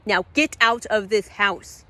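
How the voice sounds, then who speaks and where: A woman's voice: mid-range voice, a little slow, bright, forceful tone. Woman playing a fierce protective mother, defiant, firm, emphatic, fantasy storybook heroine. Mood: cold defiance.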